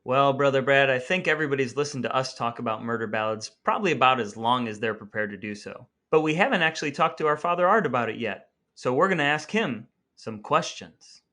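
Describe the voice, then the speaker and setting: A man's voice: medium pitch, lively intonation, a little fast, few pauses, bright, forceful tone. A man co-hosting a podcast in a wry, folksy, conversational way. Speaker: podcast co-host.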